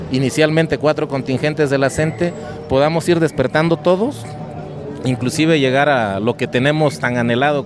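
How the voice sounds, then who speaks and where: Man, Mexican Spanish accent, measured and earnest, outdoor street interview, music in background. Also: mid-range voice. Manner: earnest, measured, with frequent filler "eh" and "pues". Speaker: striking teacher interviewed at a protest.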